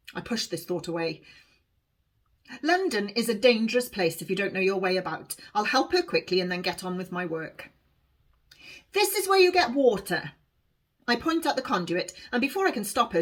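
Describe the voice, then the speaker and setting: A woman reading a novel aloud as its author, in expressive, dramatic storybook narration for young listeners. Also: mid-range voice.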